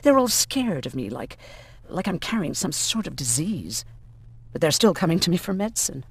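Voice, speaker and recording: A woman voicing a weary, reflective and quietly bitter outcast doctor who survived a camp, in a game. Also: on the low side, very animated, moderate pace, breathy edge, exaggerated voice. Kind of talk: game voice acting, confiding dialogue.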